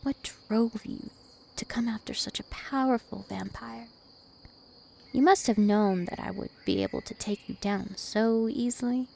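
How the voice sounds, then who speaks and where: A woman's voice: a little high, unhurried, gravelly, dark tone, a little hiss or hum. A woman voicing a dominant, taunting, ancient vampire princess in an ASMR roleplay, with haughty, teasing menace. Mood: sly menace. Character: two-thousand-year-old vampire princess, female, dominant, taunting, imperious.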